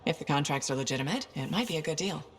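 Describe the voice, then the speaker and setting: Wry, low-voiced game hero. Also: female voice, animated, fast. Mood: thoughtful. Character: wry, level-headed female freelance adventurer hero. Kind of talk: naturalistic video game acting.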